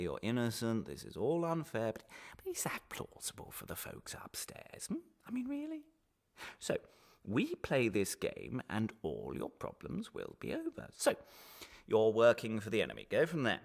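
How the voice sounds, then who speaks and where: An actor playing a menacing male official in a radio drama, falsely friendly and halting, sometimes sinking into a whisper. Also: fairly low pitch, vocal fry, full character voice. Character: smooth menacing male official interrogating a detainee. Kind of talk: one-man radio drama monologue.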